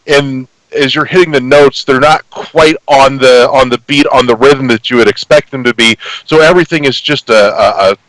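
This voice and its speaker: A man's voice: mid-range voice, a bit bright, no room sound, poor audio. A man chatting casually about video games as a podcast guest, describing things in profane, exaggerated terms. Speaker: podcast guest and game reviewer.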